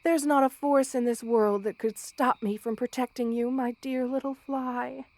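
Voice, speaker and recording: High-voiced motherly spider queen, acted by a woman. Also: steady tone, moderate pace, dry recording. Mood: tender, protective. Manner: tender, nurturing, gently regal, addresses listener with endearments. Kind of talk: ASMR roleplay, intimate character acting.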